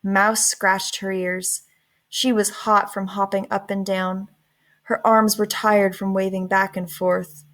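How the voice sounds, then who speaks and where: A woman narrates a children's storybook at a slow pace, with a medium-pitched voice. Her reading is deliberate and expressive. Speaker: storybook reader.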